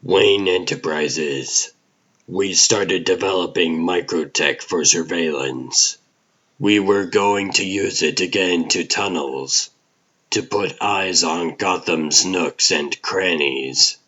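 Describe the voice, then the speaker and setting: A grim vigilante hero, acted by a man in a noir comic drama. The voice is deep and forceful, delivered slowly and deliberately like a brooding detective's inner monologue. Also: lo-fi sound, heavily acted. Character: aging vigilante hero, brooding hard-boiled detective narrator.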